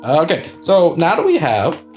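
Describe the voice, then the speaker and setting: A man's voice: on the high side, huge pitch swings, normal speed, soft background music. A young adult man casually commenting on a game as he plays, joking, swearing freely and laughing at himself. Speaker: let's play host.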